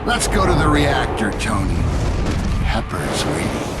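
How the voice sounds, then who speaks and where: Menacing corporate villain, animated delivery. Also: male voice, fairly low pitch, unhurried. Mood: taunting. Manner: condescending, taunting. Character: menacing older male corporate villain, smug and commanding. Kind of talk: dramatic video game acting. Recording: game dialogue under loud music.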